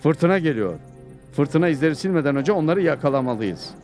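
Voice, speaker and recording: Lively, confident cowboy hero played by a man. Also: fairly high pitch, brisk, faint music behind, lightly acted. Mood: focused. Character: calm, confident cowboy hero on the trail of outlaws. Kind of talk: dubbed cartoon acting.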